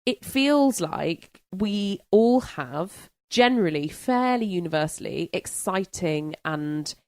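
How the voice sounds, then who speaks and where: A woman podcast panelist with a slightly low voice in a dry, close recording. She chats casually and conversationally about books. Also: lively intonation, takes it slowly, a little mellow, no room sound. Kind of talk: casual book discussion.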